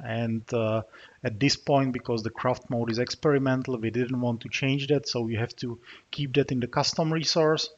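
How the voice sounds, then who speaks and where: A Czech man talks through a terminal demo at a mid pitch, stopping often with small fillers as he types commands. The recording is a bit dull and muffled, with keyboard clicks under his voice. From Czech Republic. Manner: narrates while typing, frequent uh fillers. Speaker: software developer demoing a tool. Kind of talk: screencast tutorial walkthrough.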